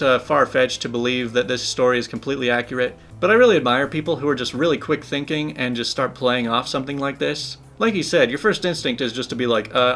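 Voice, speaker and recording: A young man, a channel host, reading online stories aloud in a playful, animated way with his own commentary. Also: medium pitch. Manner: animated, playful, laughs while telling. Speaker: channel host, story reader.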